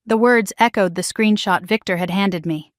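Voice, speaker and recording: A woman narrates a scripted first-person story in a calm, even, measured way. Her voice sits at an ordinary pitch for a woman, and the recording is close and dry, with no room around it. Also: no echo.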